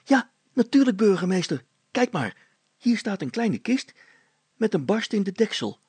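A man acts out an eager, excitable treasure hunter in a children's story. He exclaims in a high, husky voice that leaps up and down. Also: moderate pace, no echo, heavily acted. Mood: excited. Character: eager, excitable treasure-hunting boyish male named Hannes. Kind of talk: children's story character acting.

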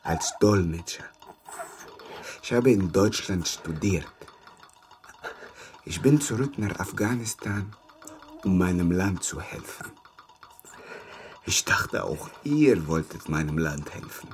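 Embittered Afghan interpreter, wildly varying pitch. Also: male voice, lively intonation, slow pace, long pauses. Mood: bitter, restrained. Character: embittered Afghan interpreter turned captor, bereaved husband and father. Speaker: former army interpreter. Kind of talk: radio drama acting.

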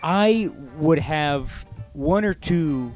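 A man in casual conversation, halting and stammering as he interrupts himself, with an excitable manner. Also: fairly high pitch, soft background music. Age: 20s. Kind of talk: casual chat.

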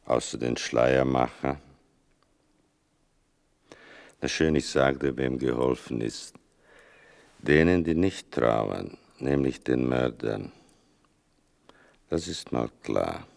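An actor playing an older narrator in a literary radio play, gravelly and deliberate, with a bitterly ironic, mournful manner. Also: male voice, rumbling low, darkish tone, exaggerated voice. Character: sardonic, mournful male narrator telling his mother's wartime story.

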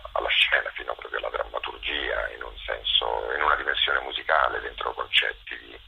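A man with a gravelly, husky voice giving reflective interview answers, often pausing to search for his words. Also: very deep voice, sharp tone, highs cut off, slight background noise. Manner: halting, searching for words, with many pauses. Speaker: interviewed theatre director and performer.

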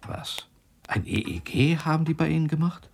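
An actor playing a steady, inquisitive confidant in a German radio drama, speaking in a measured, probing way. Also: male voice, fairly high pitch, gravelly, a little hiss or hum. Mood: surprised. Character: steady, inquisitive male confidant helping investigate a mystery.

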